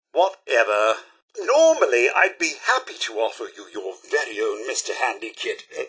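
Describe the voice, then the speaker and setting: An actor playing a polite, fussy robot butler with a British accent in a video game, speaking in formal, over-polite service patter with a husky edge. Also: male voice, fairly high pitch, pushed and bright, full character voice. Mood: politely regretful. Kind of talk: theatrical video game voice acting.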